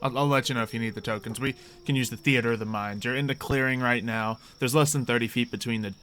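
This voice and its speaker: A man's voice: medium pitch, steady tone, fast talker, a bit bright, a little hiss or hum. A man chatting casually as he hosts and runs a tabletop roleplay game, at times muttering to himself as he sets things up. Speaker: game master and host.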